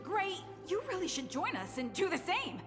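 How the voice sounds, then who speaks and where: An eager young convert in a video game hero squad. The voice is high, animated and persuasive, carried on a long reverb with music underneath. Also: female voice, normal speed.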